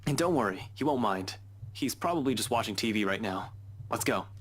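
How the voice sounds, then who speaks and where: A man's voice: fairly low pitch, lively intonation, brisk, a bit bright. A man playing a worried young man putting on casual ease in a visual novel game. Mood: serious, worried. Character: tense young man hiding worry behind casual ease.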